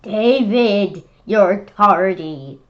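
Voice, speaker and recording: Young female reader as strict schoolteacher, exasperated, loud, commanding, slow drawn-out words, storybook, dull recording. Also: medium pitch. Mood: exasperated scolding. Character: exasperated, strict schoolteacher scolding a naughty boy.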